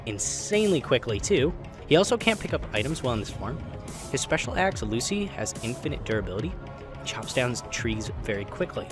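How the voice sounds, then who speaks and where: Man, gaming video narrator, casual and emphatic, opinionated, animated mid-range pitch, background music. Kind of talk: scripted game explainer voice-over with casual asides.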